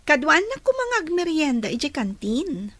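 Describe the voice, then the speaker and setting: A woman's voice: sits high, big pitch swings, moderate pace, fairly bright tone, no room sound. An actress playing a vain, lovestruck teenage schoolgirl with a Filipino accent in a radio drama. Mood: sweet, coaxing.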